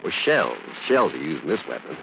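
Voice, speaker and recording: A man's voice: rumbling low, big pitch swings, a little fast, gravelly, full character voice. A man playing a polite, eager gun shop clerk in a radio thriller, deferential and hesitant. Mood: obliging, eager. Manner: deferential, hesitant, folksy salesman. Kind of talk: old radio thriller acting. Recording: muffled, faintly noisy old radio recording.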